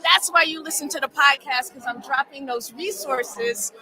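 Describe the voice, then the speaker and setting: A woman's voice: sits high, moderate pace, crowd noise, low-quality recording. A woman dentist being interviewed at a podcast event, upbeat and confident.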